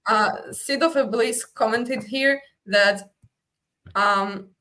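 A woman's voice: a little high, takes it slowly, bright, forceful tone. A woman whose first language is Hebrew, speaking as a panelist, critical and argumentative, thinking aloud with fillers. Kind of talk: casual online panel discussion.

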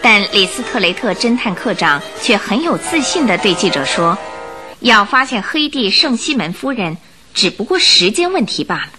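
A woman's voice: mid-range voice, very animated, normal speed, over music. A woman narrating a radio drama, reading scripted story and news reports.

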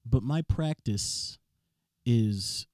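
A man with a rough, raspy voice, talking casually about music as a guest in a studio room. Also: mid-range voice, very slow, a little hiss or hum. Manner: relaxed, rambling casual chat with restarts. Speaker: blues and soul musician, podcast guest. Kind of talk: casual chat.

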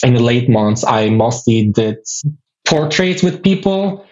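A man's voice: mid-range voice, a little mellow. Young Turkish-accented man, slightly muffled audio. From Turkey. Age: 20s. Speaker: podcast guest, photographer and tour guide. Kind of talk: casual interview chat.

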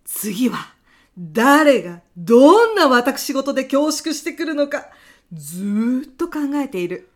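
A woman's voice: high-pitched, sing-song, slow, heavily acted. A woman playing a whimsical, gossip-hungry female personnel manager in a comedy radio drama, with a melodramatic delivery. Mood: coy, expectant. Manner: melodramatic, refers to herself by surname.

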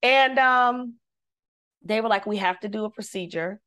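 A woman, a singer, telling a personal story in casual conversation, lively and devout, acting out the voices she quotes. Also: medium pitch, measured pace. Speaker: guest.

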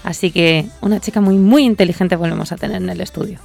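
A woman hosts a radio morning show in a lively, teasing way, joking with her co-host and her guest. Her voice has a gravelly, fry-tinged edge. Soft music plays underneath. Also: fairly low pitch, very fast. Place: radio studio.

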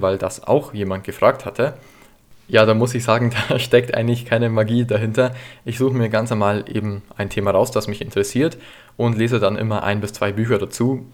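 Young man, history podcast host, chatty, relaxed, lowish voice, lots of ums. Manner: relaxed and chatty, many fillers. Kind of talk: casual solo podcast answering listener questions.

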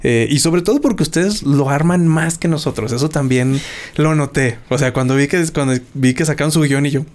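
A man's voice: fairly high pitch, fairly quick, darkish tone. A young man from Zacatecas with a Mexican accent, hosting a casual podcast chat, rambling and repeating words as he talks. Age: young adult. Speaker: podcast host.

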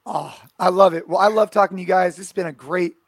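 A hoarse man hosting a casual podcast, halting and restarting with lots of fillers. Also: sits high, dry recording, studio quality. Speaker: podcast host.

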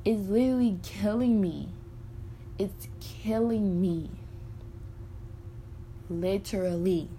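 Young woman with a dark, mellow voice. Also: mid-range voice, takes it slowly, pauses often, slight background noise. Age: early 20s. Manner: frank, profane, rambling with many fillers. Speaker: podcaster. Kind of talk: candid personal monologue.

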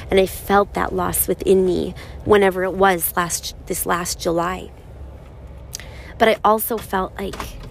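A woman in her thirties speaking slowly and softly in a reflective personal monologue, her voice raspy from crying. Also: on the low side, slight background noise. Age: 30s. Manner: slow, soft-spoken, halting with pauses.

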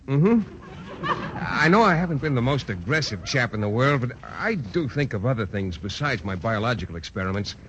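A painfully shy biology teacher stumbles over his words in an old radio comedy. His pitch rises and falls lively as he hesitates. The broadcast sounds dull with a faint hiss. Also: normal speed. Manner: hesitant, stammering, formal wordy. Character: bashful shy male biology teacher, adult. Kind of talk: 1940s radio sitcom acting.

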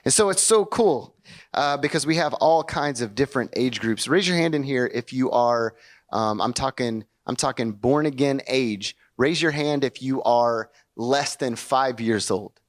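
A young man preaching in a church sanctuary, his voice pitched in an ordinary middle register. He talks loosely and conversationally and keeps drawing the congregation in with questions. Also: animated, a little slow. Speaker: pastor. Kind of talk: sermon.

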